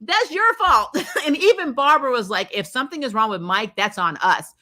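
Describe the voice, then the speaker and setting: A woman hosting a casual review livestream, chatting to viewers in an animated, opinionated and emphatic way. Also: sits high. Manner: animated, opinionated, quick to laugh. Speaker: host of a TV show review livestream. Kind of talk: casual livestream chat, reacting to episodes and reading viewer comments.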